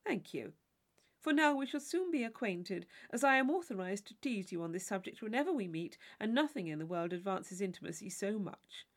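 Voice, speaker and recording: A woman voicing a witty young gentleman in a storybook reading, articulate, arch and playfully mock-serious. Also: mid-range voice, big pitch swings, brisk. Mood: teasing. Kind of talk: audiobook character dialogue.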